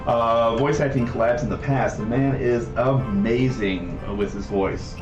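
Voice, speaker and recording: A man's voice: mid-range voice, fairly even intonation, measured pace, lo-fi sound. An American man from Southern California hosting a casual livestream, explaining things in a scholarly way. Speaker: livestream host presenting a game project. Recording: roomy echo, faint music behind. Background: faint music.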